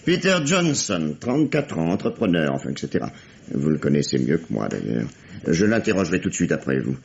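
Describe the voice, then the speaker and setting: Deep-voiced, sardonic police detective. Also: male voice, sing-song, brisk, creaky, full character voice. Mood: sly, ironic. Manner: sardonic, teasing, condescending. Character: shrewd, sardonic police detective interrogating a suspect. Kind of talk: 1950s French radio mystery acting. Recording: dull, vintage radio drama sound.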